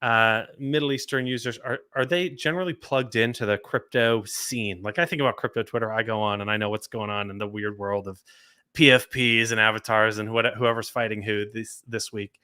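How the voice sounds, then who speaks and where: A man hosting a livestream interview with an energetic, talkative delivery, repeating words and adding plenty of fillers. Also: fairly low pitch, fairly quick, clean tone, no echo, clean studio sound. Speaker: livestream host.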